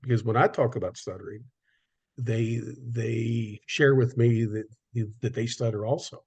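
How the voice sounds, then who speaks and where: A man with a slightly husky voice hosts a relaxed conversation. He stutters often and repeats words and sounds as he talks. He keeps a friendly, conversational pace. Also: medium pitch, a little slow. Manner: stuttering speech with repetitions, conversational. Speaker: podcast host, business owner. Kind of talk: casual conversation.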